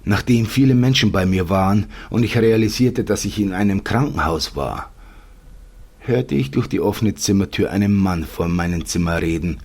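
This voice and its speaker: A male reader acting as a wistful storyteller with a very low, husky voice. He narrates an audiobook-style horror tale in the first person, measured and with deliberate pauses. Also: a little mellow. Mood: tense, sober. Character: wistful male narrator mourning an imagined life.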